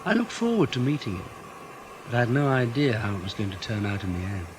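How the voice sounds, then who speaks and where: An actor playing a matter-of-fact intelligence officer in a radio drama, plain-spoken and reflective. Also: male voice, medium pitch, animated, fast talker, natural voice. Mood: reflective, uneasy. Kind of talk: radio drama acting, first-person narration.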